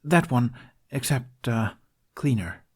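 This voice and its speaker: A man's voice: mid-range voice, animated, slow pace, darkish tone, husky, pitched above the performer's own voice. A man voicing an earnest, nervous young male apprentice recounting a vision.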